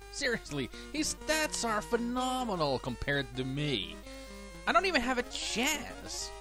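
A man with a cold and a slightly worn voice, casually commenting on his own boxing gameplay in a self-deprecating way. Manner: chatty, self-deprecating, lively swings in pitch. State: has a cold, voice a bit worn. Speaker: let's play gamer.

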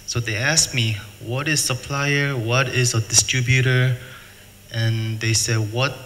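A man's voice: mid-range voice, steady tone, a bit bright. Man presenting in a reverberant hall. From United States. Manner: plain halting English, repeats words. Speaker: seminar presenter. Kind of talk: conference presentation. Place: hotel function room. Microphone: table mic, filmed from afar. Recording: reverberant hall, faint noise.

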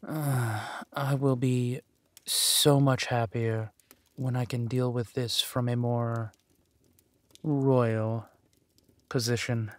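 A man playing a ruthless, haughty duke in a villain audio drama, drawling deliberately and with menace. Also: medium pitch, level tone, no room sound, lightly acted. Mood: smug, scornful. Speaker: voice actor.

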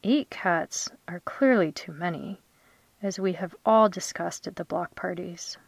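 Slow, low-pitched woman reading poetry. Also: creaky, breathy edge, dry recording, rough recording. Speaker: poet.

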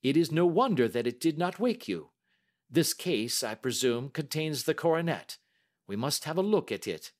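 A man voicing a brisk, astute Victorian gentleman detective with crisp, courteous questioning, in audiobook style. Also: fairly high pitch, very animated, pitched above the performer's own voice. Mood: businesslike. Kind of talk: audiobook character acting.

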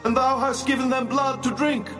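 An actor playing a solemn heavenly angel, intoning in a high, distant, half-sung voice over music. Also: male voice, flat delivery, moderate pace. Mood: solemn praise. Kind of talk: dramatized scripture reading.

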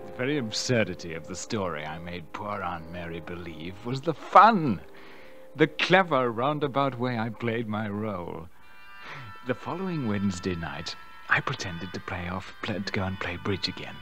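Radio drama schemer: male actor as a smooth, falsely attentive nephew, theatrical and animated, with music underneath. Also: on the low side, moderate pace, close to an everyday voice. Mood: sly, suspenseful. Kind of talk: old-time radio mystery acting.

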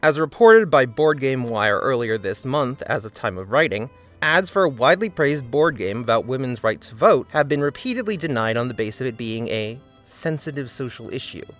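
A man's voice: mid-range voice, lively intonation, normal speed, fairly bright tone, soft background music. Earnest male video-essay narrator. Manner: earnest, critical commentary.

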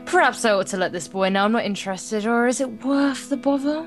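A woman's voice: on the high side, brisk, soft background music. A woman with an English accent reading a visual novel aloud and commenting casually as co-host. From England. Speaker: let's play co-host.

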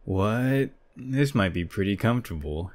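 A man's voice: lowish voice, animated, measured pace, a little mellow. A man voicing a self-conscious, defensive young male gamer in a manga dub. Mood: reluctant muttering.

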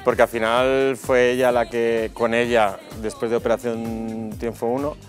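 A man's voice: medium pitch, flat delivery, moderate pace, soft background music. A man, chatting as a guest in a TV studio, repeating words fondly as he talks. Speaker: guest singer. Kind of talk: casual interview talk. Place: TV studio set.

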